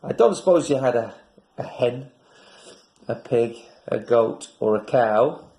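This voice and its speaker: A man's voice: mid-range voice, expressive, unhurried, dark tone. A man from a school staff, speaking encouragingly to young pupils and their parents.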